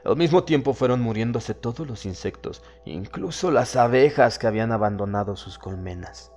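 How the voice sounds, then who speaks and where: A man with a fairly low voice narrates a horror tale. His delivery is solemn and measured, with dramatic emphasis on key phrases. Soft music plays behind him. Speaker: audiobook narrator. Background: background music.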